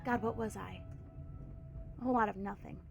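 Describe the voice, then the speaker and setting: A woman with a congested voice reading the lines of a quiet, weary male drifter in a story game. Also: steady tone, moderate pace, gravelly, music in the background, close to an everyday voice. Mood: subdued. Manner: low, subdued reading.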